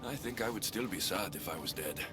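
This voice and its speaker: An actor playing an earnest, resolute hero in a video game, speaking directly and reassuringly. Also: male voice, low-pitched, lively intonation, a little fast. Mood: wry, reflective. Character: earnest, resolute male warrior hero helping lost souls. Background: game soundtrack music.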